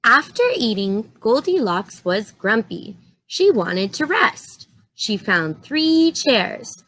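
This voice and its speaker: A woman storyteller reading a children's story aloud in an expressive, deliberate way. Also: fairly high pitch, poor audio.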